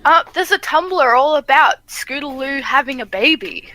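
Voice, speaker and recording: A woman's voice: sits high, animated, normal speed, sharp tone, a little hiss or hum, low-quality recording. A woman chatting casually in a group conversation.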